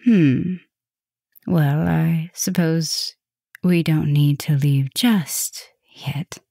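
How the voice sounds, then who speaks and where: A woman playing a tender, gently dominant vampire professor in an intimate ASMR roleplay, speaking softly and soothingly, often slipping toward a whisper. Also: low voice, fairly even intonation, dry recording, clean studio sound, exaggerated voice. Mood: relaxed, flirty. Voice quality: soft, breathy, often dropping to a whisper. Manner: slow, soothing, affectionate murmuring with pauses.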